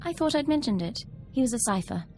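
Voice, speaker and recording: An actress playing an eager, respectful young military ensign in a video game, earnest and formal yet personable. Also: female voice, fairly high pitch, lively intonation, quick pace, a little mellow, soft background music. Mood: reflective, friendly. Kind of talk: video game cutscene acting.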